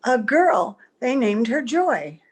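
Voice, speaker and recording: A woman's voice: fairly high pitch, very animated, slow, husky, heavily acted. A woman playing a youthful, sweet, earnest wife in old-time radio drama, with a theatrical delivery. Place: at home, recorded over video call.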